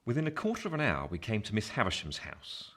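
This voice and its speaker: A man's voice: lowish voice, wildly swooping pitch, fast talker, fairly bright tone, heavily acted. A man narrating a radio play as a grown man recalling his boyhood, in a scripted literary style.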